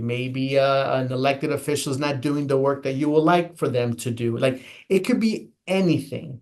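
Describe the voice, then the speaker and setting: An American man, a guest elections official, explaining voting steps in an earnest, emphatic way, often repeating and restarting phrases. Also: mid-range voice. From United States. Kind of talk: interview explanation.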